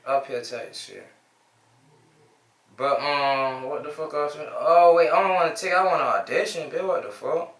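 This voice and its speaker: A man's voice: on the high side, moderate pace, reverberant. Slangy young Midwestern American man. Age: 20s. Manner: slangy, joking, sings and shouts at times. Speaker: streamer chatting with strangers. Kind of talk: casual video chat.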